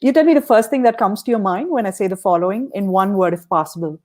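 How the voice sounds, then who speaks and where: Female interview host, medium pitch, conversational, appreciative and affirming delivery. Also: quick pace, darkish tone. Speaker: podcast host.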